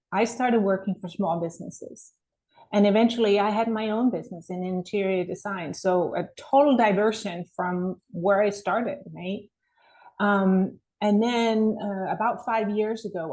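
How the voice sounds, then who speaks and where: A woman's voice: mid-range voice, a little mellow, rough recording. Woman, from Denmark, middle-aged, podcast guest, earnest, reflective. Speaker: guest, mindset coach. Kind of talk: podcast interview.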